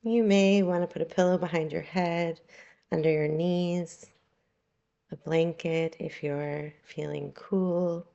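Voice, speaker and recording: A woman leading a guided relaxation meditation as a yoga nidra guide, calm, soothing and unhurried, with long pauses. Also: fairly low pitch, creaky, dull audio.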